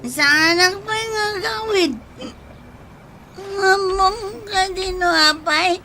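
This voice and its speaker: A woman's voice: very high-pitched, extremely slow, a crowd in the background, heavily acted. A young woman acting in an Ilocano radio drama as a stubborn, drunken young woman grieving her sweetheart, with emotional, tearful delivery. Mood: drunken, defiant, upset.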